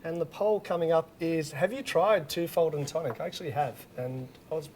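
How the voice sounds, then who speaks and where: A man's voice: fairly high pitch, fairly quick, darkish tone, slight background noise. A man hosting a live online whisky masterclass, upbeat and laughing often. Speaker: host.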